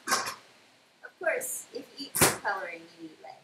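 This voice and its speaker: Female cooking helper, casual conversational delivery, animated intonation, roomy and noisy sound. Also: mid-range voice, unhurried. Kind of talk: casual chat. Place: indoor home kitchen. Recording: echoey room with clear background noise.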